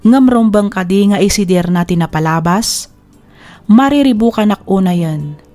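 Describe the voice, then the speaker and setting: A woman's voice: mid-range voice, expressive, normal speed, mellow. A woman hosting a drama program, reading a scripted letter and giving advice. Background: music under the voice.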